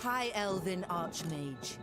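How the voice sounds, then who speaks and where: A woman's voice: fairly low pitch, lively intonation, slow pace, fairly bright tone. A woman voicing a lofty female elf archmage in a video game, speaking in a solemn, declamatory way. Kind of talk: game unit voice lines. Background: game music.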